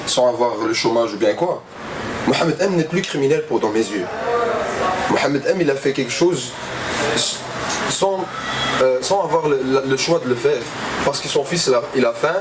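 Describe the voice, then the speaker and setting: A man speaking casually in an interview, animated and repeating words as he makes his point. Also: mid-range voice, normal speed, long pauses, far from the mic, very noisy.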